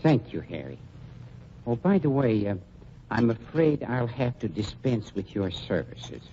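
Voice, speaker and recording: Wry undertaker, mellow tone, polite menace, precise diction, animated intonation, 1940s radio drama, dull noisy recording. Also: male voice, medium pitch, a little slow. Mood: dry, coolly polite. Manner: polite, dryly ironic, precise, mock-regretful. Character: urbane, sly male undertaker double-crossing gangsters.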